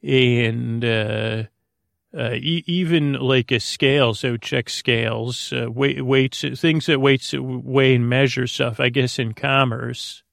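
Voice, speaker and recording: A man hosting a sleep podcast in a meandering, halting, droning monologue full of tangents and repeats. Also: fairly even intonation, vocal fry, a bit bright, dry recording, studio quality. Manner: droning, low-key. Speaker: podcast host.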